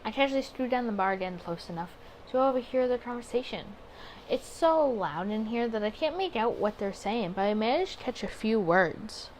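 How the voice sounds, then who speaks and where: A woman's voice: a little high, animated, normal speed, darkish tone, a little hiss or hum, low-quality recording. A woman doing a casual game playthrough, chatting expressively as she reads story text aloud and reasons through her reactions. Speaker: gaming video creator.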